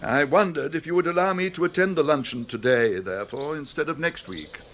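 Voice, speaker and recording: An actor playing an unruffled gentleman's valet in a radio comedy, formal, measured and deferential, with an English accent. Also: fairly high pitch, close to an everyday voice. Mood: polite, composed. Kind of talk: radio comedy drama acting.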